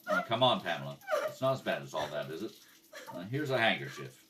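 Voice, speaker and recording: Very low male detective played by a man, radio crime drama, deadpan, calm, patient; off-mic home recording. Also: fast. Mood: gently firm. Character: calm, matter-of-fact male police detective, patient with children. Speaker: police detective in a radio play rehearsal. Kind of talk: 1950s radio detective drama, clipped narration and dialogue. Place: kitchen at home. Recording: homemade, distant mic with background noise.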